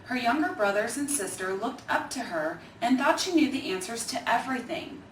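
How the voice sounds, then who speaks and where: A woman with a fairly high voice reads a children's book aloud. Her delivery is measured and expressive, stressing key phrases. She sounds a little away from the mic in an echoey room with faint noise. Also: recorded from a distance. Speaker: Alzheimer's organization staffer reading a children's book. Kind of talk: scripted storybook reading.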